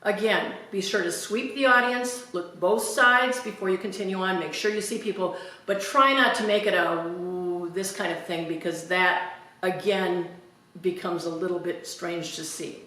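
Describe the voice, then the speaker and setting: A woman's voice: on the low side, sharp tone. A woman giving a public speaking lesson at a podium, speaking carefully and enunciating each word. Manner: careful, deliberate, clearly enunciated. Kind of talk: instructional demonstration at a podium. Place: church hall, speaking at a podium. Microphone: camera at a distance. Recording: very echoey hall from far off, faint noise.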